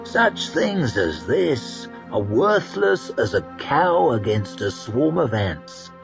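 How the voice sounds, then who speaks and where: A man voicing Koska, a scheming, grandiose male adventurer who looks down on ordinary people, in a haughty, drawn-out way. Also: mid-range voice, very animated, gravelly, muffled recording, over music, heavily acted. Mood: scornful. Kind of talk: audiobook character reading.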